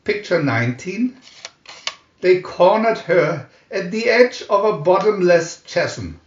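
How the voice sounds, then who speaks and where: A man narrating a scripted storybook in a deliberate, theatrical announcing manner. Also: high voice, sing-song. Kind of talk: dramatic scripted storybook reading. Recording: dull lo-fi, roomy echo.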